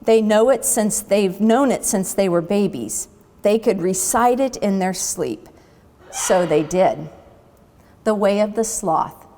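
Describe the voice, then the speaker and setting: A woman storyteller reading a children's book aloud expressively, with dramatic pauses between phrases. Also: medium pitch, warm tone, long reverb tail, a little hiss or hum.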